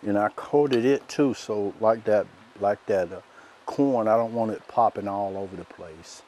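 A soft-spoken middle-aged man, demonstrating a recipe outdoors as a cooking show host in a relaxed, folksy way. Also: on the low side, a little slow, a little hiss or hum. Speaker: chef host. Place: outdoors by a lake in woods.

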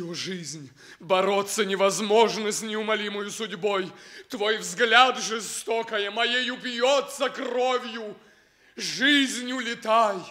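An actor playing a noble, lovestruck, fatalistic young prince in a radio play, with lofty, lyrical, declamatory phrasing. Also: male voice, high-pitched, bright, forceful tone, heavily acted. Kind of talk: declamatory classic stage acting in a radio play.

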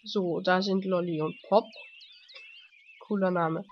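A woman with a cold, commenting casually on a game she is playing. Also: fairly low pitch. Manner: relaxed, slow, chatty. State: has a cold, coughing. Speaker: let's play host. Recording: dull lo-fi home mic with faint noise.

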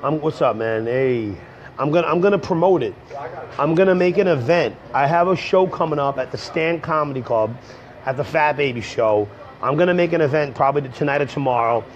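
A young man, hoarse from a cold, rambling casually to viewers on a livestream from a coffee shop. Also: a little high, lively intonation, brisk. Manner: rambling, slangy, talks straight to viewers. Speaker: comedian livestreaming. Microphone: earbud headset mic on a phone. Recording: dull lo-fi phone stream.

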